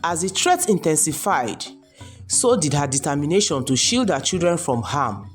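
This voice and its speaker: A woman's voice: low voice, sing-song, normal speed. A woman storyteller reading a scripted folktale narration. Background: music behind the voice.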